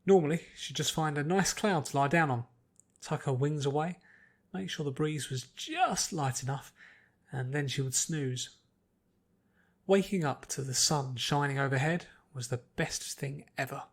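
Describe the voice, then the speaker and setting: Male narrator, fairly high voice, expressive story reading, audiobook style. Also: a little slow. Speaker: podfic narrator.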